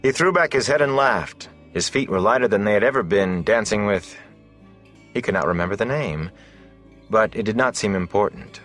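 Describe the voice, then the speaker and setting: A man with a low voice narrates an epic fantasy tale. His delivery is measured and deliberate, shaped like dramatic scripted storytelling. Faint music plays behind him. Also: expressive, a bit bright. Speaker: audiobook narrator.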